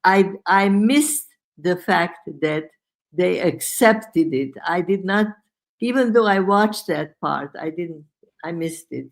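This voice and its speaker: Slow-speaking woman. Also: fairly low pitch, gravelly. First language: Hungarian. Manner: measured, thoughtful, skeptical. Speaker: podcast co-host. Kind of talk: casual conversation.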